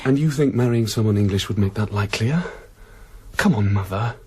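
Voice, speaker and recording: An actor playing an earnest, defensive young poet and son in a naturalistic radio drama. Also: male voice, fairly low pitch, a little fast, a little mellow, slight background noise, lightly acted. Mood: defensive, pressing.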